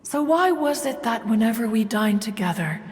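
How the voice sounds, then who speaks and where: A woman's voice: on the high side, lively intonation, moderate pace, big-room sound, close to an everyday voice. A woman voicing a proud, ancient bird-like immortal in a video game, telling stories in pompous, archaic and formal speech. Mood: fond reminiscing. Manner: pompous, archaic, formal, refers to herself as "one". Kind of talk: theatrical game storytelling. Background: background music.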